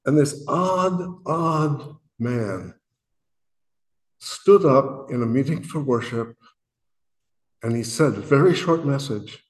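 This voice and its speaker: A man from Illinois with a booming voice, speaking earnestly and self-deprecatingly to a worship meeting, often pausing and restarting his sentences. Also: animated. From Illinois, USA. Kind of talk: reflective spoken message.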